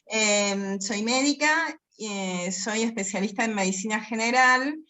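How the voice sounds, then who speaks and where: A woman's voice: a little high, unhurried, bright, forceful tone. An Argentine woman physician introducing herself on a video call, speaking with hesitant fillers. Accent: Argentine Spanish. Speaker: physician, course participant.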